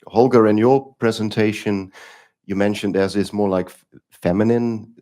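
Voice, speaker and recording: A man's voice: lowish voice, steady tone, normal speed, warm tone. A man moderating an academic conference panel, asking questions with frequent hesitant fillers and restarts.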